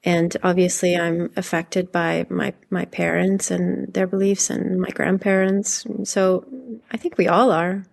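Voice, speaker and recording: A woman's voice: lowish voice, little pitch movement, a little slow, creaky. A woman from Hungary being interviewed as a novelist, talking reflectively and conversationally with tentative phrasing.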